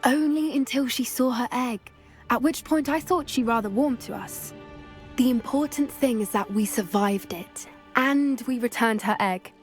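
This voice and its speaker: Woman as an earnest, high-voiced British schoolgirl witch. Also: normal speed, natural voice. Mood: elated, relieved. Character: earnest, determined teenage witch schoolgirl who loves creatures. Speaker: video game voice actor. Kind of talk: cinematic game dialogue acting. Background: background music.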